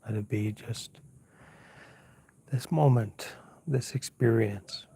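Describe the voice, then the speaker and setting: A man teaching as a monk leads an unscripted guided meditation in a hushed, soft, slightly husky voice, unhurried and pausing often as he gently gives instructions. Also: medium pitch. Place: outdoors at night, lying down under a mosquito net.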